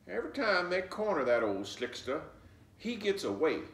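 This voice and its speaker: A man acts out a knowing old grandmother from the in a children's storybook. The voice is high and animated, bossy and folksy, set back in a roomy space. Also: moderate pace, heavily acted. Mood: grumbling. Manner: folksy, emphatic, cautioning. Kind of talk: children's storybook read-aloud. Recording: roomy echo, distant mic.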